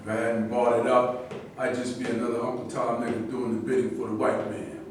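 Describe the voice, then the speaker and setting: A man's voice: medium pitch, flat delivery, a little fast, natural voice. Man acting a blunt militant athlete, very echoey. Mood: defiant. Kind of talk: staged play reading. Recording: very echoey room, distant mic, faint noise.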